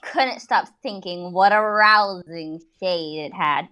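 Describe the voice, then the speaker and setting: A woman's voice: lowish voice, takes it slowly, bright, forceful tone. A woman giving a mocking, playful dramatic reading with giggly, exaggerated delivery and casual asides. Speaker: reader in a group dramatic reading.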